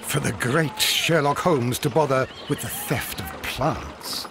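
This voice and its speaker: An actor playing a loyal, wry English companion to a detective in a video game, dry and gently teasing in manner. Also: male voice, mid-range voice, slow, music in the background. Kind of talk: video game cutscene acting.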